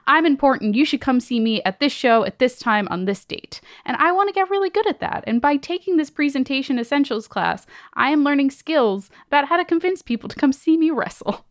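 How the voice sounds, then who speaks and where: A woman's voice: high voice, animated, brisk, few pauses, no room sound, studio quality. A woman co-hosting a podcast in a conversational, enthusiastic way, moving between casual chat and host-read ads.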